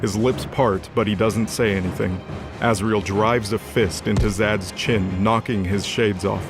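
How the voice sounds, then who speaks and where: A man with a fairly low voice narrates a fantasy audio drama. He reads scripted action in a dramatic storytelling style, with music clearly underneath. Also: steady tone, unhurried.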